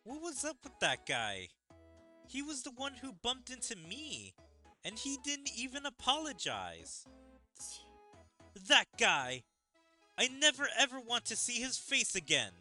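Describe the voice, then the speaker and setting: A man's voice: very high voice, wildly swooping pitch, measured pace, pushed and bright, soft background music, voice pushed up, full character voice. A man voicing an indignant, flustered schoolgirl from a comedy manga, exclaiming as he reads.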